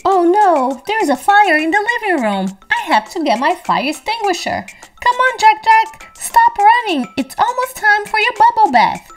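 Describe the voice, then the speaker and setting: A woman's voice: very high-pitched, big pitch swings, a little slow, over music, exaggerated voice. A woman playing a playful cartoon mommy coaxing her toddler in singsong baby talk for children's toy role-play. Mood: worried.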